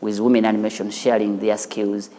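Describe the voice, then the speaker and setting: A man's voice: lowish voice, flat delivery, fairly quick, mellow, in a large hall, slight background noise. A man with a Ugandan accent, speaking as the founder of an animation studio in a filmed profile interview. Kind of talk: interview and studio tour for a news feature. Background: music.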